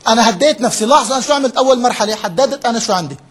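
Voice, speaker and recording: Male workshop trainer, higher voice, slightly husky, talks fast, keeps checking the room understands. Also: expressive. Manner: explains step by step, checks in with listeners often; drops English terms into his speech. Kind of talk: interactive lecture to a live group.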